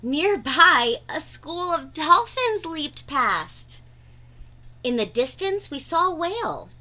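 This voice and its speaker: A woman's voice: high voice, very animated, takes it slowly, pushed and bright. A woman reading a children's storybook aloud expressively, drawing out words for dramatic emphasis. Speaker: read-aloud narrator.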